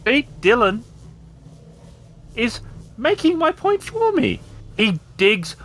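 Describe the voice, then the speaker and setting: Smug robot villain, voiced by a male game master, taunting and theatrical, pitch leaping dramatically. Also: sing-song, takes it slowly, a bit bright, exaggerated voice. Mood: scornful, goading. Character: villainous robot with a copied mind, smug, misanthropic.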